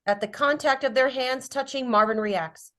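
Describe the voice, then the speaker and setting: A woman's voice: high-pitched, normal speed, fairly bright tone. A woman reading stage directions as scripted narration at a drama table read.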